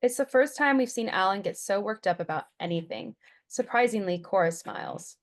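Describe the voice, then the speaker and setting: A woman's voice: mid-range voice, lively intonation, fairly quick. Woman narrator with mid-range voice. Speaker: stage directions reader. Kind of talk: scripted narration.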